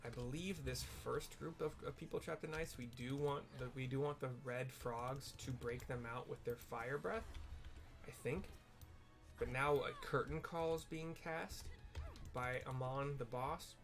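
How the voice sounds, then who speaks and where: Man, medium pitch, casual gameplay commentary, explanatory, rambling, clear background music. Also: unhurried. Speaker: video game streamer and narrator.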